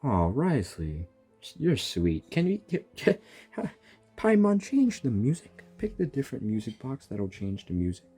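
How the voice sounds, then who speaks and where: A streamer with a husky, mid-range voice reacts casually to a game. The delivery is teasing and exasperated, with faint game music behind it. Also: mid-range voice, huge pitch swings, a little slow, mellow. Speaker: gamer reacting to story quest.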